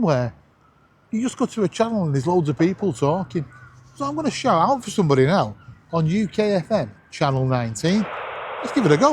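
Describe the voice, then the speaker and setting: A middle-aged man with a husky voice, chatting casually and excitably as he hosts a vlog. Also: high voice, background noise. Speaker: vlog host. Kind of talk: casual chat to camera while testing a radio.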